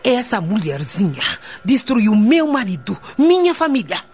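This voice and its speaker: An actress playing a bitter, imperious, vengeful wealthy widow in a radio soap opera, cold and commanding. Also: female voice, on the high side, big pitch swings, a little slow, darkish tone, husky, exaggerated voice. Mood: bitter, anguished. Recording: dull lo-fi audio with music.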